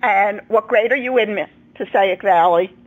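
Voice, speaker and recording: A woman hosting and moderating an educational program, speaking in a measured, organized way to groups she introduces. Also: medium pitch, a little hiss or hum, low-quality recording. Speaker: host and moderator. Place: school room, live videoconference.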